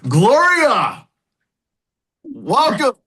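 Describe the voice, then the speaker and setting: Bright-voiced upbeat podcast host man. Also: very high voice, drawn-out words, breathy edge. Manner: upbeat, stumbles and restarts when excited. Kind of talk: casual interview hosting.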